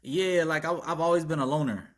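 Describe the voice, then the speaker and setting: A man from Arkansas talking as a podcast guest, relaxed and informal, with a habit of saying "yes, sir" and chuckling between stories. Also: on the high side, brisk. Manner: laid-back street talk, repeats "yes, sir", chuckles often. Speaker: podcast guest, tattoo artist and rapper. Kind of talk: casual interview chat.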